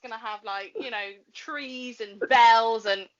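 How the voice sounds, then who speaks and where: A woman's voice: a little high, unhurried, dull audio. A woman hosting an interview in casual, chatty style. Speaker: interviewer, host. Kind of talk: casual chat.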